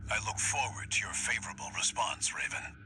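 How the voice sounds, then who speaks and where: An actor playing a composed, courteous resistance commander in a video game, with a measured, formal delivery. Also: male voice, lowish voice. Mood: composed, confiding. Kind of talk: dramatic video game voice acting. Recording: loud music over the voice.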